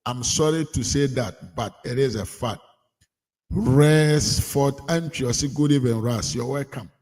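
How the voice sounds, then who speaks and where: A man's voice: mid-range voice, unhurried, darkish tone. A Ghanaian man hosts a casual live stream with a mid-range voice. He reads viewer comments aloud one after another and switches between Twi and English as he goes. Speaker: host reading viewer comments.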